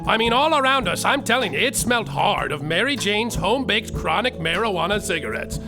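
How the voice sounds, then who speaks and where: A man's voice: sits high, expressive, fairly quick. A man narrating a comedic story live on a theater stage, hammy and increasingly exasperated. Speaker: narrator and lead performer. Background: live band music.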